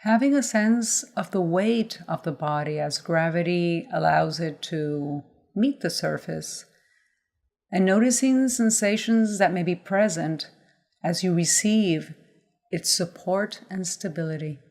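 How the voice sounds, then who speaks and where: Slow-paced woman guiding a meditation. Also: fairly low pitch. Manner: measured, gently instructional phrasing with pauses. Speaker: mindfulness meditation guide. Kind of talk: guided body scan meditation.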